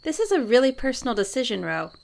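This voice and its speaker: A woman's voice: on the high side, very animated, fast, gravelly, darkish tone. A woman playing an outspoken, sensible talking head in an audio drama, assertive and chiding. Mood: sisterly backing. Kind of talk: scripted audio drama acting.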